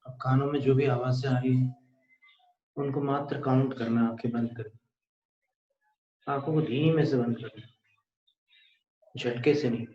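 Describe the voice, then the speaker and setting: A man with an Indian accent leading a guided meditation, speaking calmly and deliberately with pauses. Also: medium pitch. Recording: echoey room, dull muffled sound.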